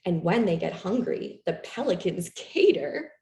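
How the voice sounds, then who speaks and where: A lower-voiced woman reads a children's story aloud with a mellow tone. Her delivery is expressive and animated, made for young listeners, with some creak in the voice. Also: unhurried. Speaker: storytime reader. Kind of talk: expressive read-aloud of a children's picture book. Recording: roomy, echoey room sound.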